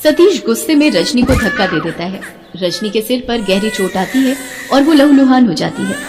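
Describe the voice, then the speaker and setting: A woman's voice: fairly high pitch, lively intonation, normal speed, big-room sound, music in the background. A woman narrating a scripted dramatic story.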